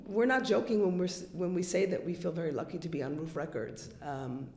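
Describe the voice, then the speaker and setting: A woman from the United States, a band member, telling stories in a casual interview while slightly hoarse from losing her voice. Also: lowish voice, brisk, a little mellow. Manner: chatty storyteller, many fillers and restarts. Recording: echoey room.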